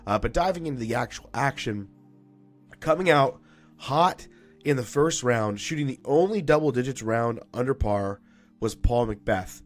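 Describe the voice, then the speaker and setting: Guy doing a solo sports podcast recap, kinda raspy allergy voice, upbeat, lots of ums, quiet music under it. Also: mid-range voice, animated, normal speed. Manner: chatty and upbeat, with frequent fillers and restarts. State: slightly raspy, deeper from allergies. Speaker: podcast host.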